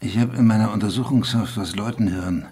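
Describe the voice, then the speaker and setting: An actor playing a weary, bitter villager in a radio crime drama, with a husky voice. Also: male voice, on the low side, quick pace, a little mellow. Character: weary male villager, wrongly jailed, bitter.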